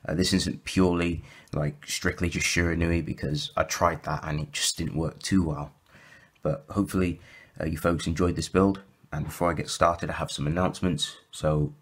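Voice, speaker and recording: Low-pitched male host, a bit croaky, casual deck walkthrough, filler-heavy and self-correcting. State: slightly croaky from sore throat. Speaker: YouTube deck profile host.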